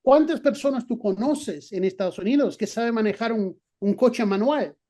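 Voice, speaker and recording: Mellow middle-aged man, Argentine Spanish accent. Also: high-pitched, moderate pace. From not from Argentina. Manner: thinking aloud, many restarts and fillers. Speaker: guest professor. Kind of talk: conversational interview answers.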